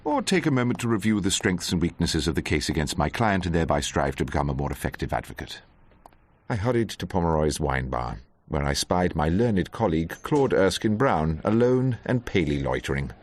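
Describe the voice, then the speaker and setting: A wry English defence barrister in a radio drama. His low voice swings expressively as he talks. He is eloquent, dry and a touch theatrical. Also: sing-song, a little fast. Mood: wry narration. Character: sharp-witted, wryly grandiloquent English defence barrister. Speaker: narrator and lead character. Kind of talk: radio drama narration and dialogue.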